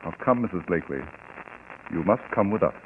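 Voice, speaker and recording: An actor playing a grave, authoritative doctor who speaks formally and insistently in a 1940s radio mystery. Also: male voice, low voice, lively intonation, brisk. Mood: grave, brisk. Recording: tinny, very noisy old radio broadcast.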